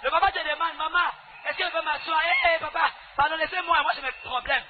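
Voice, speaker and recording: A very high-voiced woman interprets live in church. She talks fast and emphatically in animated bursts. The sound is thin and phone-like. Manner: emphatic, echoing the preacher. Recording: tinny phone-like band, loud music.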